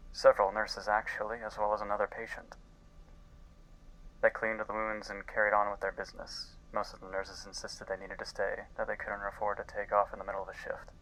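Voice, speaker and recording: A man playing an earnest, guilt-ridden scientist in an audio drama, speaking intensely and haltingly as if recording a log. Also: lowish voice, a bit bright, natural voice, rough recording. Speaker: voice actor. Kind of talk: dramatic audio-log monologue in a fiction podcast.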